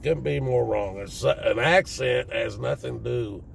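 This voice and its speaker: A man in his fifties with a Southern US accent and a deep, raspy smoker's voice, talking casually and plainly to viewers. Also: a little slow. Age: 50s. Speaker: vlogger. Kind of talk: casual personal talk to viewers. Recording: distant mic with faint background noise.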